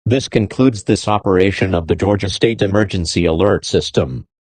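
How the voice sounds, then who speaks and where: Deep voiced guy doing an emergency alert announcement, formal and terse, official sounding. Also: moderate pace, noisy background. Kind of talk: scripted emergency broadcast announcement.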